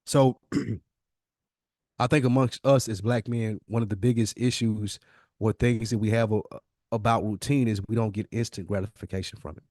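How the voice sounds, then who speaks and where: A man's voice: on the low side, fairly even intonation, normal speed, darkish tone, dry recording, studio quality. A middle-aged American man talking casually and motivationally as a podcast co-host, emphatic and repeating phrases to drive points home.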